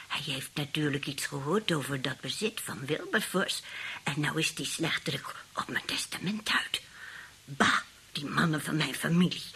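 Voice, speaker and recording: Husky, scornful old spinster great-aunt. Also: female voice, sits low, fairly bright tone, full character voice. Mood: bitter, grumbling. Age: older. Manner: indignant, grumbling, outspoken. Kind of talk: radio play acting.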